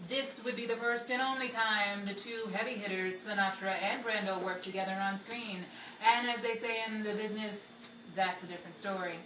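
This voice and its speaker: A woman's voice: mid-range voice, steady tone, brisk, natural voice. A woman playing a poised, patient 1950s Hollywood radio hostess in old-time radio style. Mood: polished newscasting. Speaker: radio show host and actress. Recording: roomy, distant microphone, loud background noise.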